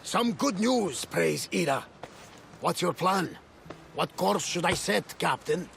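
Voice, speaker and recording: A gravelly wry ship captain. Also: male voice, high-pitched, animated, takes it slowly, a bit bright, a little hiss or hum, full character voice. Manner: dry, wry humour, loyal. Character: wry middle-aged male ship's captain.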